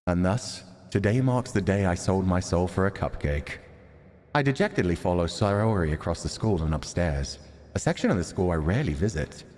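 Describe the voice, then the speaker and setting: A man's voice: deep voice, expressive, moderate pace, darkish tone, long reverb tail, pitched below the performer's own voice. A man reading as a reluctant, cynical teenage schoolboy who narrates an anime visual novel. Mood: dry, resigned.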